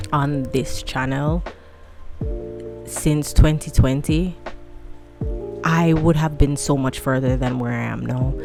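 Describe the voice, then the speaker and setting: A woman in her late twenties chatting casually to camera at home, rambling and self-deprecating, a fan running nearby. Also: low-pitched. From tropical country. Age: 20s. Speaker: vlogger.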